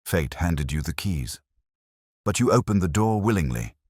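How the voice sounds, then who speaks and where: A man playing a cool, precise Victorian gentleman detective in an audiobook, probing and solemn. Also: low voice, lively intonation, moderate pace, breathy edge, dry recording, clean studio sound. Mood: coolly judging.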